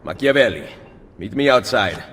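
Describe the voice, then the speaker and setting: Composed Italian assassin leader. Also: male voice, fairly low pitch, lively intonation, normal speed, fairly bright tone, slight background noise. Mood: resolute. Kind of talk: video game cutscene acting.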